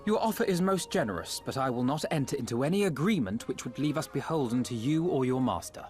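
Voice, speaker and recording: A man's voice: medium pitch, expressive, fast, a little mellow, lightly acted. A man playing a wary young diplomat. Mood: firm. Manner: formal, curt and suspicious. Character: young earnest male diplomat, formal and wary. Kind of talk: video game cutscene. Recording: with background music.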